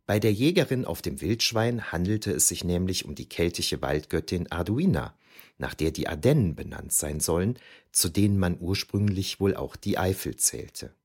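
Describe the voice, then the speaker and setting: A man's voice: sits low, expressive, moderate pace, darkish tone, slightly rough, full character voice. A man playing a reflective ancient historian who narrates memories of youth in a scripted, wryly explanatory way for an audio drama. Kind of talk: scripted first-person narration.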